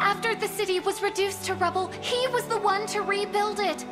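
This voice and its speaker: Very high-voiced, earnest young lass. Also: female voice, steady tone, a little fast, over loud music. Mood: pleading. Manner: polite, pleading, earnest. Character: sweet, deferential young townswoman, loyal and pleading. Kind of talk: video game fantasy acting.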